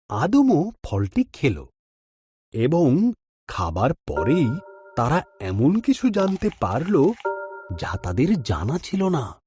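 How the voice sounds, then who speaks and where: Slow, husky storyteller with lively pitch. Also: no echo. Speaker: narrator. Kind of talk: scripted scripture storytelling for children.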